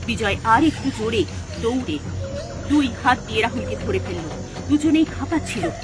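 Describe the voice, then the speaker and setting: Expressive woman narrating a horror story. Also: a little high, normal speed.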